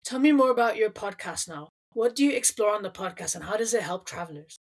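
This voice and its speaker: Breathy woman host reading questions. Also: medium pitch, expressive. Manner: measured, reads prepared questions. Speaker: podcast host. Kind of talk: scripted interview questions.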